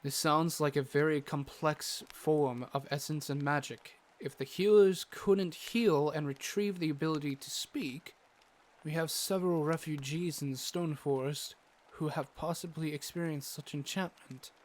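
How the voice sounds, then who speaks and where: A man playing a learned, brisk doctor and forest guide in a fantasy audio drama, speaking in a formal, instructive way. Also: on the high side, steady tone, lightly acted. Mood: thoughtful.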